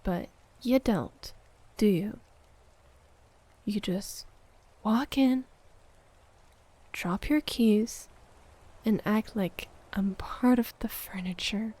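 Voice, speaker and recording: A woman playing a hurt, needy, accusing girlfriend in an intimate audio roleplay, moving between sharp reproach and hushed murmurs. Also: mid-range voice, very slow, pauses often, husky. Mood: quietly wounded. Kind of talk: intimate audio roleplay monologue, dramatic acting.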